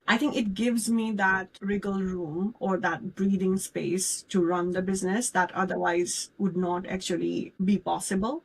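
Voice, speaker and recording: A woman's voice: on the low side, slightly rough, low-quality recording. A young adult woman from Nepal co-hosting a casual podcast, talking earnestly and candidly about her worries. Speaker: podcast co-host.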